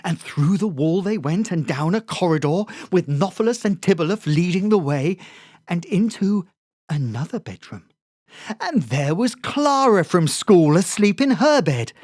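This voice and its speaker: A storyteller reading a bedtime story in a soft, soothing, hushed way. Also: medium pitch, no room sound, studio quality.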